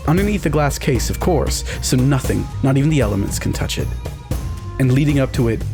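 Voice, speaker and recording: A man improvising descriptive tabletop game narration in deliberate, evocative phrases with pauses. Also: mid-range voice, animated, gravelly. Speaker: tabletop game player, storyteller. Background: music.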